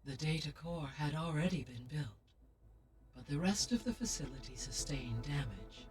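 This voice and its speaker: A woman voicing a precise, calm AI intelligence in a video game, speaking in a measured, clinical way, heard from a distance with faint music behind. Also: sits low.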